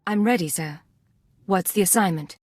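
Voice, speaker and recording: A woman playing a dutiful, businesslike imperial agent in a video game, speaking crisply and with composure. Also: lowish voice, normal speed, darkish tone, no room sound, clean studio sound, natural voice. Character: brisk, dutiful young female imperial agent. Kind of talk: scripted video game dialogue.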